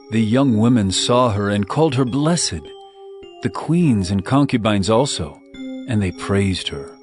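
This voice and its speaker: A man's voice: on the low side, darkish tone. A man playing an ardent bridegroom who woos his beloved in an impassioned, poetic scripture drama. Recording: music bed behind the voice.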